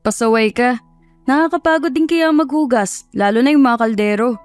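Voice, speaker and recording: A woman's voice: a little high, normal speed, smooth, no room sound, soft background music, lightly acted. Woman voicing an earnest, lovestruck young man. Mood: fond, teasing. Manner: heartfelt, pleading. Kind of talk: dramatized audio love story.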